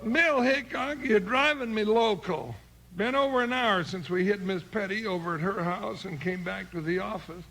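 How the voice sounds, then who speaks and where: An actor playing a folksy, excitable American cowboy sidekick with a gravelly voice and blustering frontier slang in a radio western. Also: male voice, high-pitched, moderate pace, faint music behind. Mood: exasperated. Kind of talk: 1950s radio western acting. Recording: noisy old 1950s radio recording.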